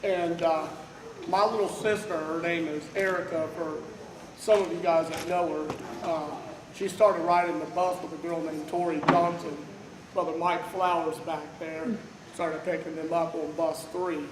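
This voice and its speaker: A man in his thirties, originally from Kansas City, giving a loud, rambling tribute to his pastor without a microphone. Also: a little high, flat delivery, normal speed, reverberant, noisy background. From Kansas City, Missouri. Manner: loud, unmiked, rambling with many "uh"s. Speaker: church member giving a tribute. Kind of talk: informal heartfelt tribute speech. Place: large church fellowship hall. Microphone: no microphone, picked up from across the room.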